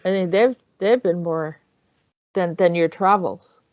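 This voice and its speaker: A woman's voice: lowish voice, measured pace, dry recording. A woman hosting an online show in a casual, conversational way, often rephrasing herself as she goes. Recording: dry, slightly dull audio.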